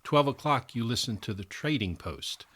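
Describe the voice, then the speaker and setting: A man's voice: medium pitch, animated, moderate pace, a bit bright. A middle-aged man, reminiscing in easy conversation and chiming in agreeably. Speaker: radio veteran, podcast guest. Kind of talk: casual reminiscing chat.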